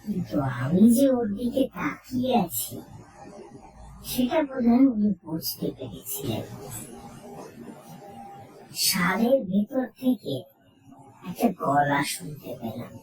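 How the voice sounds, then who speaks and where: Female horror storyteller, highly expressive and suspenseful, unhurried, roomy sound. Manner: suspenseful, theatrical, with dramatic pauses. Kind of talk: dramatic first-person horror narration. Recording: roomy echo, distant from mic.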